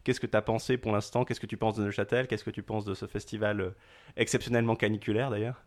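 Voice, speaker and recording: A man's voice: lowish voice, level tone, fast. A young man hosting a casual chat show, joking and rambling with many restarts. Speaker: podcast host.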